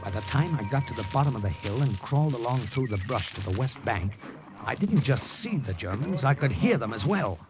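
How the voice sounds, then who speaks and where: A man playing a resourceful wartime spy tells his story in clipped, dramatic phrases, at a middling pitch. It is vintage radio drama with music clearly playing behind him. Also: expressive, brisk, natural voice. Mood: tense, suspenseful. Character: undercover American secret agent, tense, resourceful, narrating. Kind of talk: 1950s radio drama acting and narration.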